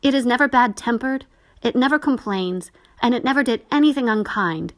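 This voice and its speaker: A woman's voice: a little high, lively intonation, normal speed, pitched above the performer's own voice, natural voice. A woman voicing an earnest, tender-hearted little girl who pleads for her doll in a storybook reading.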